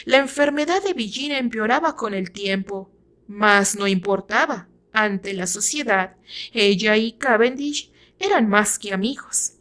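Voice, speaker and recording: A woman's voice: mid-range voice, measured pace, a bit bright. A woman with a Mexican accent reading her own novel aloud as dramatic narration.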